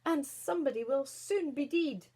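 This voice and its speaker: Woman doing loud, grumbling little Scottish dwarfs. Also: high voice, normal speed, voice pushed up, lightly acted. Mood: outraged. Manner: loud, complaining. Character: grumbling, excitable little male fairy-tale dwarfs.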